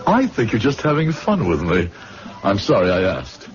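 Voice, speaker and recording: An actor playing an earnest, proud and hot-tempered young medical student with a formal manner, in an old-fashioned radio drama. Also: male voice, fairly low pitch, huge pitch swings, normal speed. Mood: proud, uneasy. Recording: dull radio recording, loud music.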